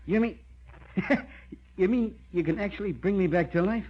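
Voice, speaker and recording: An actor playing a brash, cynical condemned convict with tough, slangy talk in an old-time radio drama. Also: male voice, high-pitched, moderate pace. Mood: incredulous. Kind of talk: 1940s-50s radio drama acting. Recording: muffled old radio broadcast recording.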